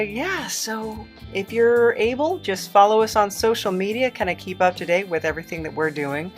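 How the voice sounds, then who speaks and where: Female podcast host: medium pitch, informal, chatty, somewhat hesitant, faint music underneath. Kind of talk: casual chat to listeners.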